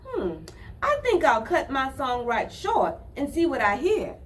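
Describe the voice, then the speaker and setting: A woman's voice: high voice, wildly swooping pitch, a little slow, a bit bright, heavily acted. A woman voicing a determined little girl in a storybook, firm, emphatic and scolding. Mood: suspicious.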